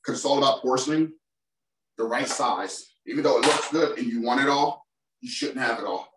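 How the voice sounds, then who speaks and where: A man's voice: medium pitch, normal speed, noisy background. Male chef, chatty and upbeat, home kitchen, distant mic, echoey room. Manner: chatty, enthusiastic, talks straight to viewers. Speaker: chef presenting a cooking demo. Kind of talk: casual cooking demonstration. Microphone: far camera mic.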